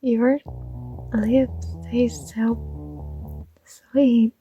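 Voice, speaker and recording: Woman acting as smitten young female student, shy, soft higher voice, mellow tone, tender, hesitant, intimate ASMR roleplay. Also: animated, drawn-out words, soft background music, exaggerated voice. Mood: tender, playful.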